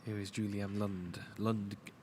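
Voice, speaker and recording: A man doing live play-by-play commentary on a goalball match, calling the action in quick bursts. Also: lowish voice, flat delivery, background noise. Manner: calls the action in quick bursts, rising on big moments.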